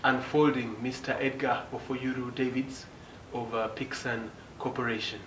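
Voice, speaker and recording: A man's voice: mid-range voice, little pitch movement, normal speed. Guy hosting a tv interview, asks pointed follow ups, echoey room, noisy. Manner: probing, follow-up questions. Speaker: TV show host, interviewer. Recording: echoey studio with background noise.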